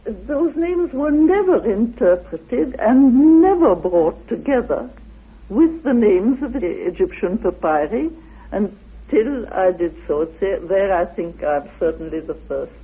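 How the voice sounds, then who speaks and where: A man giving a lecture-like explanation in an interview as a researcher, with deliberate, halting, declamatory phrasing. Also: high voice, big pitch swings, breathy edge. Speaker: interviewed researcher of ancient myths. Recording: tinny phone-like old radio recording.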